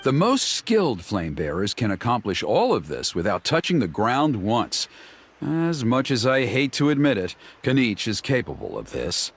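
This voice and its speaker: Earnest, highly animated young tribesman. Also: normal speed, a little hiss or hum. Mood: explanatory, serious. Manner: explanatory, earnest. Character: earnest young tribesman explaining a sacred ceremony. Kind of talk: video game character voice acting. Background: music.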